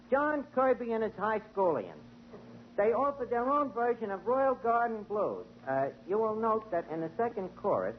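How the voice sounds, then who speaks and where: A man hosting a 1940s radio comedy as a tavern manager, brash and wisecracking, tripping over malapropisms. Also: sits high. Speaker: tavern manager and show host. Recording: dull old radio broadcast with faint hiss.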